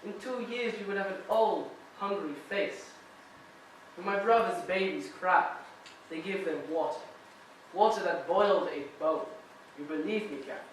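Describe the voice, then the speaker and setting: Man doing a proud young immigrant, careful halting english, stage acting, distant voice, echoing hall. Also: sits high, a little hiss or hum, natural voice. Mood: incredulous. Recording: echoey room, voice from far off.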